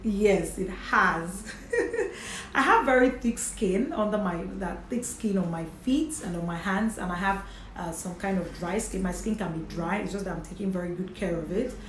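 A Nigerian woman in her thirties talks straight to camera from a home office in a chatty, earnest manner. Her voice sits at an ordinary pitch and echoes off the room, with a faint hiss underneath. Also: expressive, normal speed. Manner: chatty and earnest, with light laughs. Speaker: vlogger, mother. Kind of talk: casual talk to camera. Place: indoor home office with bookshelf and curtains. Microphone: camera in front at desk distance.

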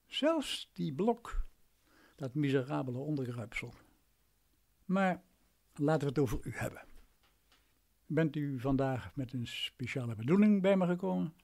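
A man playing a condescending, self-important lawyer in a radio drama, pompous and deliberate. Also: on the high side, animated, gravelly, darkish tone, exaggerated voice. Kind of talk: radio drama acting in Dutch.

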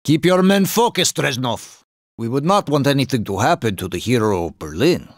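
An actor playing a cold, menacing Soviet military villain in a video game, with a Russian accent and a deliberate, commanding delivery. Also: male voice, mid-range voice, lively intonation. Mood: stern authority. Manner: slow, deliberate, commanding, threatening.

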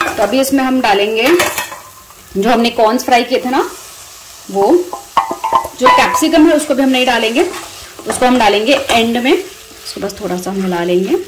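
A woman's voice: fairly high pitch, normal speed, pauses often. A woman in her thirties with an Indian accent, giving a casual, conversational cooking voice-over with English words mixed in. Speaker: home cooking vlogger and host. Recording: voice-over with clear sizzling kitchen noise.

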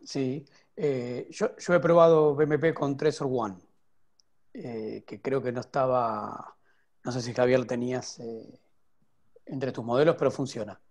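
A man in his thirties, a guest developer, explaining at length on a video call from home with many restarts and pauses. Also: mid-range voice, darkish tone. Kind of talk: casual video call interview. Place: home room with window. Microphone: earphone headset on video call.

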